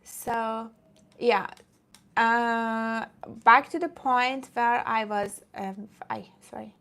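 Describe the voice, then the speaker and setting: A woman's voice: fairly high pitch, level tone, very slow, fairly bright tone. A woman from Iran who streams about fitness, chatting casually with viewers in a rambling way and often breaking off to greet commenters.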